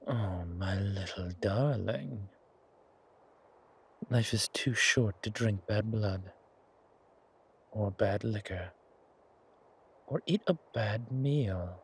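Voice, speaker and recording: Seductive ageless vampire played by a man, low-pitched, unhurried, hushed and menacing, for horror ASMR roleplay. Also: vocal fry, breathy edge, exaggerated voice. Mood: indulgent, amused. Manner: slow, deliberate, hushed, soothing yet menacing. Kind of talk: ASMR horror roleplay, intimate monologue to the listener.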